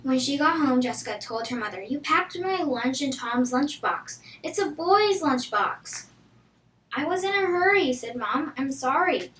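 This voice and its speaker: A woman's voice: high-pitched, lively intonation, unhurried, a bit bright, a little hiss or hum. A woman reading a children's story aloud from a book. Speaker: storybook reader. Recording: echoey room, distant from the microphone.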